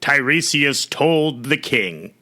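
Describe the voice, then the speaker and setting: A man narrating a myth as a storyteller, reading scripted prose in a measured, dramatic way. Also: mid-range voice, expressive, sharp tone. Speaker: storyteller, host.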